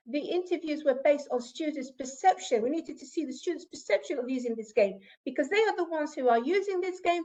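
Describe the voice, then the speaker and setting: A woman's voice: sits high, a little mellow, highs cut off. A woman whose first language is Arabic, giving an academic conference presentation in English and explaining with asides to the audience.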